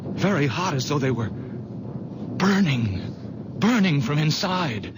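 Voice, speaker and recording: An anxious young man who stammers and speaks slowly, his pitch rising and falling a lot. Thunder and loud noise fill the old radio recording. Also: big pitch swings. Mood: wondering. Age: young adult. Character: anxious young man caring for a sick girl. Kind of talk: 1970s radio drama acting. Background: thunder and storm.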